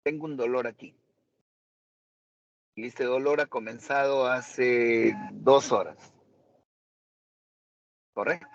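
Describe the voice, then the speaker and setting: A man's voice: medium pitch, steady tone, slow, long pauses, muffled recording, a little hiss or hum. A man teaching a medical seminar, questioning and quizzing his students. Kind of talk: interactive medical lecture.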